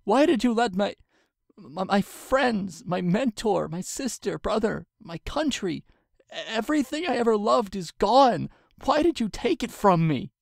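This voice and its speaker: A man's voice: sits high, animated, unhurried, creaky, no echo, studio quality, voice pushed up, full character voice. A man voicing an anguished young female pony princess in a dramatic story reading, pleading urgently and indignantly. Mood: screaming grief. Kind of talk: dramatic fan fiction reading.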